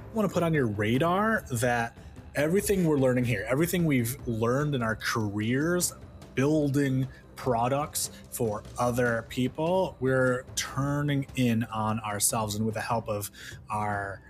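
A man hosting a podcast, making a casual announcement in an informal, halting way. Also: mid-range voice, faint music behind. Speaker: podcast host.

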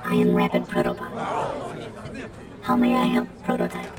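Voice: a bass voice, sing-song, measured pace, exaggerated voice. A performer voicing an obedient, faintly menacing robot with a stilted, mechanical delivery. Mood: flat, mechanical. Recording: music and loud crowd behind.

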